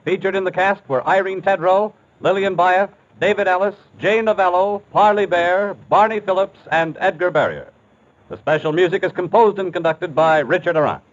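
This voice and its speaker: Casting: male American announcer, golden-age radio, high-pitched polished pitchman, slightly muffled. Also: moderate pace. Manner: polished sales delivery. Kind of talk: 1940s-50s radio announcing, scripted ad and credits.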